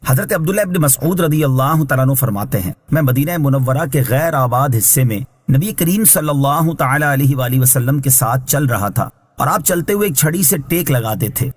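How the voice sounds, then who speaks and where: A man reading scripted Islamic translation and commentary in a measured, formal, instructive way, as a scholar would. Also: mid-range voice, animated, breathy edge. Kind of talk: scripted religious exegesis narration.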